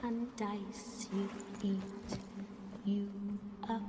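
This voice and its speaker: An actress playing an eerie singer, singing a slow, dark lullaby for a fantasy game. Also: female voice, medium pitch, little pitch movement, noisy background. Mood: eerie, soothing.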